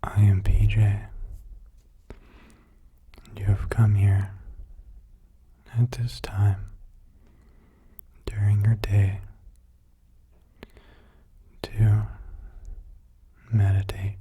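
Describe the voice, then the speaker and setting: Male ASMR meditation guide: low, dark, hushed and breathy, soothing, halting phrases. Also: level tone, a little hiss or hum. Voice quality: breathy, hushed, mostly whispered. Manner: slow, soothing delivery with short halting phrases.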